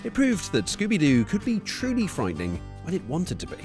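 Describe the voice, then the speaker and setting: A man's voice: fairly high pitch, wildly swooping pitch, a little fast. A man reading scripted narration for a countdown video. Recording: music under the voice.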